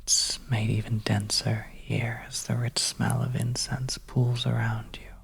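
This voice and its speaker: A storyteller narrating a suspenseful ASMR tale in a soft, breathy whisper, hushed and gentle with pauses. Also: mid-range voice, level tone. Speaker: audio storyteller.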